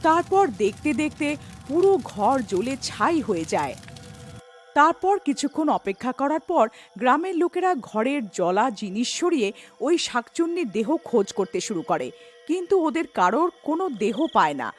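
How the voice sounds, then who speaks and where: A woman's voice: sits high, sing-song, moderate pace, soft background music. A woman reading scripted narration for a cartoon horror tale.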